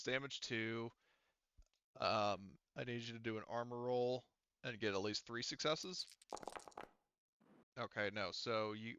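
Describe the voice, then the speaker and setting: A man running a tabletop roleplaying game as game master, casual and sweary, halting as he mutters through the rules. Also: mid-range voice, bright, forceful tone, smooth, no room sound, lo-fi sound. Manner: halting, muttering to himself while checking rules.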